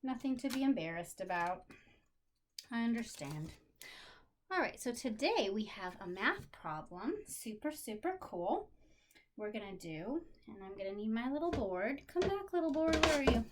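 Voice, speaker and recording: A woman teacher speaking directly to young children in an animated, encouraging way, with simple phrases and questions. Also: fairly high pitch, moderate pace, a little hiss or hum. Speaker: special education teacher. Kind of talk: lesson for young children, talking directly to pupils.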